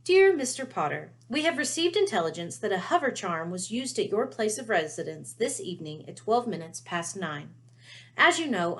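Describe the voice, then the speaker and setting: A woman's voice: mid-range voice, natural voice. A woman voicing a prim female ministry official's stern warning letter from a storybook, in a formal, officious manner.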